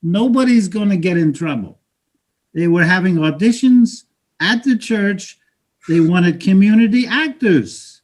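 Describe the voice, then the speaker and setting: A man's voice: high voice, lively intonation, a little slow, a little mellow. A man playing a proud, theatrical Salvadoran father of thirty-five in a staged reading, with a grandiose, insistent manner. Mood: placating, hurt. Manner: grandiose, insistent, mixes Spanish into English. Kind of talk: live staged play reading.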